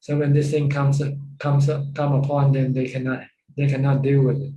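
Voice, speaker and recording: A man with a Thai accent giving informal Buddhist teaching on a video call, repeating phrases in halting fashion. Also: mid-range voice, little pitch movement, dark tone. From Thailand. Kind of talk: informal Dhamma teaching with questions. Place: Zoom call. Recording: roomy, echoing video call.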